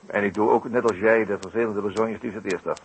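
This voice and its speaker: An actor playing a wry, wordy detective with dry irony in a 1960s radio drama. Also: male voice, fairly low pitch, steady tone, quick pace, natural voice, rough recording. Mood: dry, ironic. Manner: dryly ironic, wordy. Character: wry, unhurried detective helping the local police. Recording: old radio recording with hiss.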